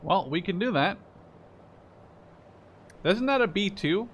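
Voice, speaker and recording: A man's voice: high-pitched, lively intonation, takes it slowly, slight background noise. A man in his twenties giving casual gameplay commentary. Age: 20s. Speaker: gaming video maker.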